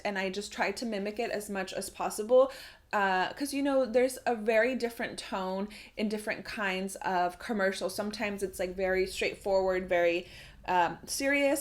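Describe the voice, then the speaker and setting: A young adult woman talking in a chatty, explanatory way, like a casual tutorial vlog from a video creator. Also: medium pitch.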